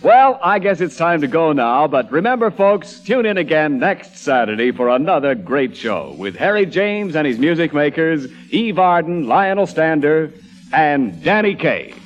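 A man's voice: on the high side, sing-song, moderate pace, muffled recording. A man working as a folksy, showy announcer signing off a 1940s radio variety show. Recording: old radio broadcast, music behind.

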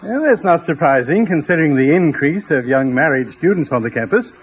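A man's voice: fairly high pitch, animated, brisk, lightly acted. A man playing a courteous, earnest, self-deprecating psychology professor in 1950s radio comedy style. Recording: dull, faintly noisy old radio recording.

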